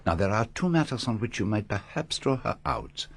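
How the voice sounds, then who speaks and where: A methodical Belgian detective in a radio drama. He is formal and courteous, with a low voice and lively, precise phrasing. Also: fairly quick. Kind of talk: British radio drama acting.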